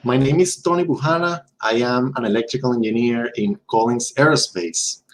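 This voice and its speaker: A young man from Venezuela whose first language is Spanish, giving a steady, measured talk to teens as a guest speaker. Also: mid-range voice. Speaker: electrical engineer, guest speaker.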